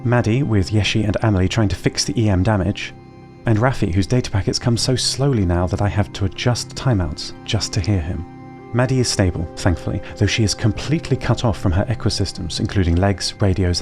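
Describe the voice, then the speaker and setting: A man tells a scripted audio drama in a calm, measured manner. His voice sits fairly low, and faint music plays quietly under his words. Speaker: narrator.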